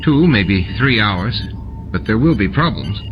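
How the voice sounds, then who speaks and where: A man's voice: fairly low pitch, very animated, a little slow. A practical, harried ship's engineer, acted by a man reading an audiobook, reporting tensely to his superior. The sound is lo-fi and dull, with prominent music underneath. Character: harried, hard-working male starship engineer. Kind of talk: audiobook character dialogue.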